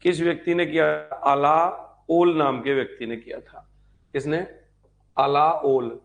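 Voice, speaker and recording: A man's voice: fairly high pitch, fairly even intonation, slow pace, lo-fi sound. A man giving an informal online history lecture as a teacher, repeating key points and asking check questions. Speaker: history teacher for exam preparation.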